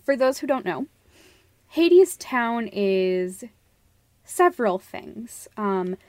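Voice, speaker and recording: A woman's voice: a little high, very animated, takes it slowly, a little mellow, dry recording, studio quality. A woman co-hosting a podcast in casual, conversational discussion. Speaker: podcast co-host.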